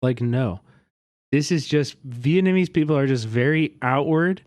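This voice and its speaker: A man's voice: medium pitch, a little slow, darkish tone, no echo. A man streaming live and reacting to a video, rambling with lots of fillers and casual swearing. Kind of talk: livestream reaction commentary.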